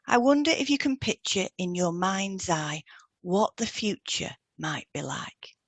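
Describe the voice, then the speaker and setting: A woman reads scripted podcast advice in a gentle, measured manner. Her voice sits at a medium pitch. The sound is very dry, with little room around it. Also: no echo. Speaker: podcast co-host and hypnotherapist. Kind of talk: scripted podcast advice with example client questions read out.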